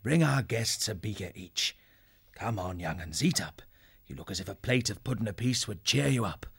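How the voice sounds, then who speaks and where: A man playing a kindly, steady, fatherly animal bellmaker with a husky voice in a fantasy audiobook. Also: medium pitch, normal speed, no room sound, exaggerated voice. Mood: kindly, reassuring. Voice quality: husky, gravelly. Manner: calm, reassuring.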